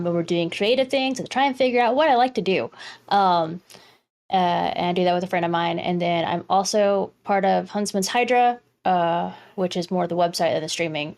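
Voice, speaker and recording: A woman streamer chatting casually as a guest on a game stream. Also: on the low side. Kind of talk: casual chat.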